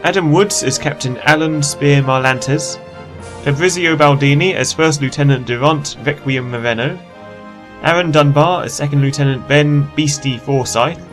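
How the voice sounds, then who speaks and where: A man's voice: a little high, normal speed. Male credits announcer over music. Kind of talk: scripted narration. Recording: music behind the voice.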